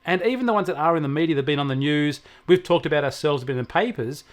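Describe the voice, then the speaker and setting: An Australian man with a voice pitched a little high talks as a technology commentator on a regular show. He explains things conversationally and with emphasis, often stacking repeated phrases to make a point. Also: fast.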